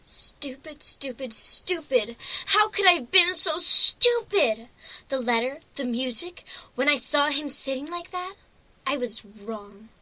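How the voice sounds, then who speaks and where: A woman voicing an emotional, excitable young female pony in a dramatic reading of a fan fiction story. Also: sits high, slow pace, exaggerated voice, poor audio. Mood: distraught, self-reproachful.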